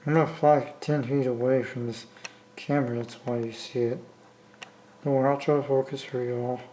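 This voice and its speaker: A man with an American accent talking casually off the cuff as a hobbyist video maker, rambling and halting as he repeats himself. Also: mid-range voice, steady tone, warm tone, slight background noise, rough recording. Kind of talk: casual unscripted vlog talk. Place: indoor room, talking from behind the camera.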